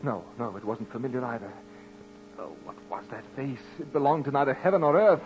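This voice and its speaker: An actor playing a panicked, guilt-ridden murderer, narrating a horror radio drama in a melodramatic way with dramatic pauses. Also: male voice, mid-range voice, expressive, noisy background, close to an everyday voice. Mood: terrified. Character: guilt-ridden, panicked male murderer confessing his crime. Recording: muffled vintage radio recording with music.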